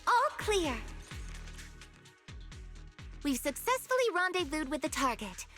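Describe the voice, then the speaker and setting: A woman playing an eager young soldier in a game, forthright and earnest, with a crisp way of talking. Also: sits high, sing-song, moderate pace, bright, forceful tone, soft background music, full character voice. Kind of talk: dramatic game dialogue.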